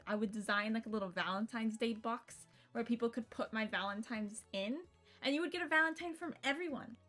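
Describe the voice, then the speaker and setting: A woman's voice: medium pitch, a bit bright. A young woman live streaming a game, rambling and joking casually, swearing now and then and reading text aloud. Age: young adult. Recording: music playing behind the voice. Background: visual novel game music.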